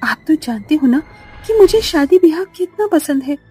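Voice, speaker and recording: A man voicing a gentle, tearful young village girl in a cartoon story, pleading. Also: very high voice, animated, normal speed, faint music behind, voice pushed up.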